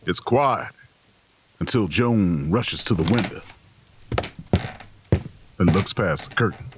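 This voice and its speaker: An actor playing a weary, watchful drifter who narrates a horror audio drama in a folksy, subdued, husky voice. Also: male voice, low voice, expressive, slow, slight background noise. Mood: tense, weary. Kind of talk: audio drama first-person narration and dialogue.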